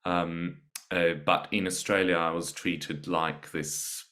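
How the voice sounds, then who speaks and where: A man with a slight British accent, speaking as a guest on a podcast about teaching teenagers, measured and reflective with frequent pauses. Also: low voice, flat delivery, fairly bright tone. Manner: measured, reflective, pausing often. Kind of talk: podcast interview.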